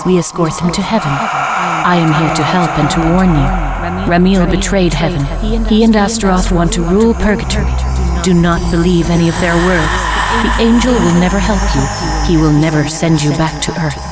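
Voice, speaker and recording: An actress playing a gentle, devout heavenly spirit in a game, speaking in an earnest, solemn and reassuring way. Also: female voice, lowish voice, moderate pace, over loud music, close to an everyday voice. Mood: earnest warning.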